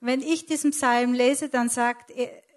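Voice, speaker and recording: Female sermon interpreter, clearly high-pitched voice. Live, phrase-by-phrase delivery, occasional self-corrections. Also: fairly even intonation, a little slow.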